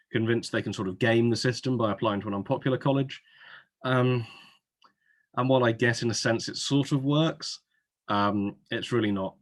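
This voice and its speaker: Man, fairly low pitch, webinar host, informal, chatty, wry asides. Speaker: webinar host and admissions adviser. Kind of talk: informal Q&A hosting, reading out audience questions. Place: online webinar.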